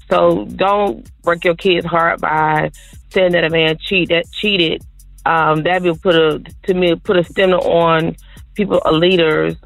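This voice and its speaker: A caller on a radio show giving earnest, halting advice. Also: medium pitch, vocal fry, no echo, low-quality recording.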